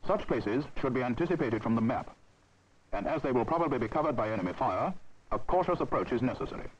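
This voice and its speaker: A man's voice: medium pitch, expressive, fast talker. A man with a British accent giving formal, authoritative, precisely enunciated scripted narration for a military training film. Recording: dull old film soundtrack, background noise.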